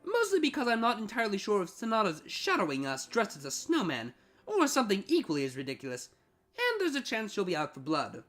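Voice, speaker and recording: Irritable, haughty cartoon siren, female actor, biting sarcasm, exasperated and very animated. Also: mid-range voice, a little fast, faint music behind. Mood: dry, sarcastic. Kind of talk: storybook fan fiction reading.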